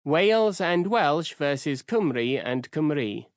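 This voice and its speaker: A man narrating a nonfiction audiobook in the first person, reading in a measured, clear way on a close studio microphone. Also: a little high, very animated, dry recording.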